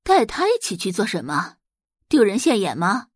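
A woman's voice: medium pitch, huge pitch swings, normal speed, breathy edge, dry recording, clean studio sound. A woman voicing a haughty, snobbish middle-aged society mother with a scornful, indignant manner, in an audio drama. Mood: furious, scornful.

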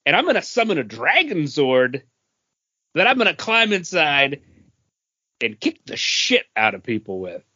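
A man's voice: on the high side, huge pitch swings, measured pace, sharp tone, slightly rough, no room sound. A man co-hosts a pop-culture podcast, talking informally and with enthusiasm. The sound is dry and close, with a slightly dull, lo-fi edge. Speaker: podcast co-host. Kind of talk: casual pop-culture chat.

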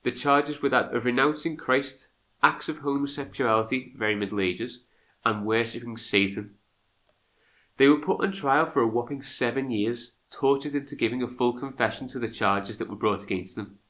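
A man's voice: medium pitch, moderate pace, a bit bright. A man with a congested, nasal voice from a cold, co-hosting a podcast and moving between scripted history narration and chatty asides. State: has a cold. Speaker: podcast co-host.

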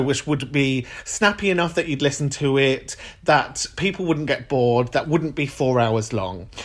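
A man who grew up in Ireland but has an English-sounding accent, hosting his podcast in an earnest, animated monologue. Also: fairly high pitch. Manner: earnest, animated and playful with callers. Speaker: podcast host. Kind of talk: reflective solo monologue.

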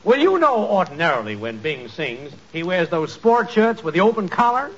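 Smooth-talking, persuasive salesman, high animated voice, chummy pitch, muffled 1940s radio comedy. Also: moderate pace, full character voice.

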